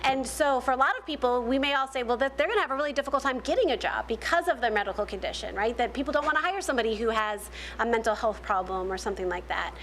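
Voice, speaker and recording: Woman panelist, fairly high voice, explanatory and halting, faint room hum behind. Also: animated, fairly bright tone. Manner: explanatory, many restarts and fillers. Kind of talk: panel discussion answer.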